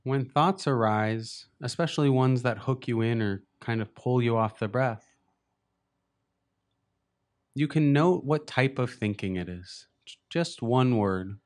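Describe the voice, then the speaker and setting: A man leading a guided meditation as a teacher, speaking gently and unhurriedly in short phrases with long pauses. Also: mid-range voice.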